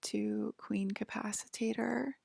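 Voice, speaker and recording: Female ASMR performer, slow breathy whisper, soothing sci-fi roleplay as a calm spaceship abductor, intimate close-mic feel. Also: mid-range voice, no room sound. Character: calm alien abductor attending to a patient aboard a spaceship. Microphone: close microphone, dry.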